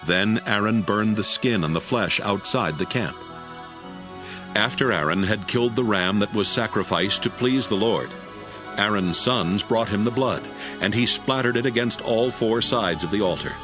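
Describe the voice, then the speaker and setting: Low-voiced man narrating over prominent music. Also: normal speed. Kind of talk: scripted scripture narration, audiobook.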